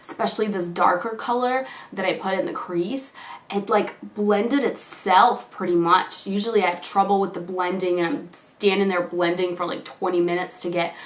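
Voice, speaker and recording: A woman with a slightly hoarse voice from a cold, chatting casually to camera in a product review, gushing and full of fillers. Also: medium pitch, fairly even intonation, normal speed, reverberant. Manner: chatty, gushing, many fillers like "like" and "you know". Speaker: beauty vlogger.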